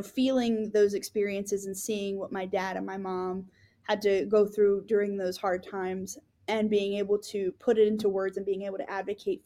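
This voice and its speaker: A woman co-hosting a podcast, telling her own story in an earnest, casual way, often pausing with ums and restarting her sentences. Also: mid-range voice, warm tone. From Florida.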